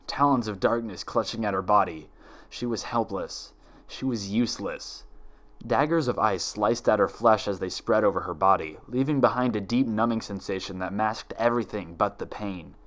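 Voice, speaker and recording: A man reading dark fan fiction aloud as dramatic, scripted horror narration. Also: fairly low pitch.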